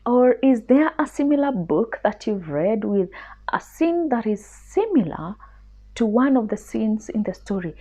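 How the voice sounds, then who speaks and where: A woman with a fairly high voice reads to children. Her delivery is extremely slow and teacherly, with very animated pitch, captured on a slightly dull recording. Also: husky. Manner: deliberate, teacherly. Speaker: children's story reader. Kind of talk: expressive read-aloud storytelling for children.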